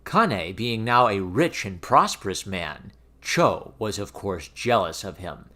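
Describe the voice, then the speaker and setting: A man reading a fairy tale aloud as an expressive, theatrical storybook narration. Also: lowish voice, takes it slowly. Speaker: storyteller, reader of fairy tales.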